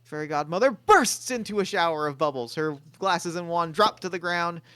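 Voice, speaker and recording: A man reads stage directions and then hosts a charity stream in a steady, matter-of-fact way. His voice is a little high for a man, and the sound is dry and close. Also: moderate pace, no room sound. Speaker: narrator and stream host.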